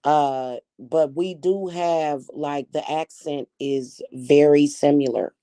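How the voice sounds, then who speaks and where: A woman's voice: deep voice, steady tone, takes it slowly, mellow, no echo. A woman in her forties with a Kansas City Midwestern accent, chatting casually with viewers on a livestream in a rambling, slangy, frank way. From Kansas City, Missouri. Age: 40s. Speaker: livestream host reading chat. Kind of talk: casual chat.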